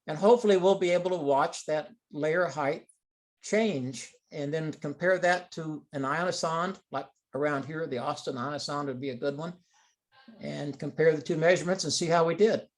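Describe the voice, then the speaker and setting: A man speaks in a fairly high register as he presents experimental data on a teleconference. His style is informal and explanatory, working through the material one step at a time. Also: moderate pace. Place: online teleconference call.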